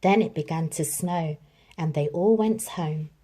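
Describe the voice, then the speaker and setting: A woman reading a children's story aloud in an expressive, dramatic storytelling manner. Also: low-pitched, a little slow. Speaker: storybook reader.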